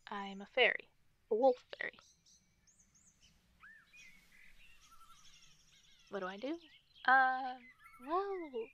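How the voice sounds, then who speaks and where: A woman voicing a guarded, protective wolf fairy in a soft, halting, often whispered ASMR roleplay. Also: a little high. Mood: guarded, gentle. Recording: nature ambience and birdsong noise. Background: forest sounds, birdsong.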